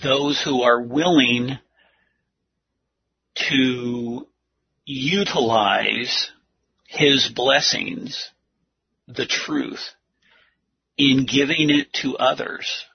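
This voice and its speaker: A man preaching and teaching a Bible lesson, speaking in deliberate, earnest short phrases with a husky, gravelly voice. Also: mid-range voice, dry recording. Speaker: preacher leading a church lesson. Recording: muffled lo-fi audio.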